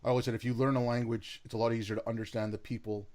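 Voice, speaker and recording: A man's voice: mid-range voice, flat delivery, very fast, a little mellow. A man hosting a podcast interview, speaking in a friendly, rambling way with lots of "like" and "you know". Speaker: podcast host. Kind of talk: casual interview.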